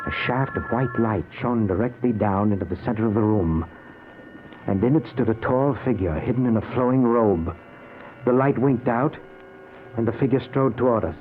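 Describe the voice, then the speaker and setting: A man's voice: mid-range voice, normal speed, lightly acted. Man playing disbelieving ghost, intense, theatrical, old radio drama, tinny narrow sound, noisy recording. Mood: grave, stunned narration. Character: newly dead man, anguished, disbelieving, narrating his afterlife. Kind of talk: old-time radio drama acting and narration.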